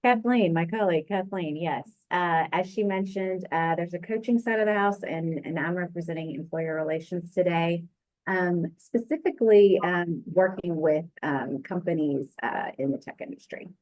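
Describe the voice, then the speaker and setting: A woman's voice: fairly low pitch, normal speed, a little mellow, dry recording, low-quality recording. A woman from employer relations introducing herself, flustered and full of fillers.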